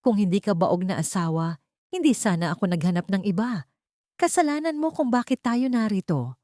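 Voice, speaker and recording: A woman's voice: mid-range voice, animated, normal speed, warm tone, no echo, studio quality, voice pushed up, natural voice. A woman with a Filipino accent voicing a frail, bitter, scheming mistress in an audio story. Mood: bitter, accusing. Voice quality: thin voice.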